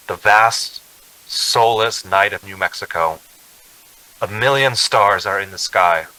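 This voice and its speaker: An actor playing a distraught, haunted traveler in a radio drama, speaking dramatically and stammering when shaken. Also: male voice, on the low side, pushed and bright, full character voice, low-quality recording. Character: distraught, haunted male traveler on the edge of panic. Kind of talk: old-time radio drama acting.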